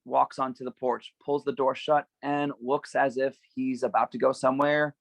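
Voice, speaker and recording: A man narrates screenplay directions at a table read. His voice is creaky and gravelly at a middle pitch, recorded dry and close. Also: flat delivery, moderate pace, no echo.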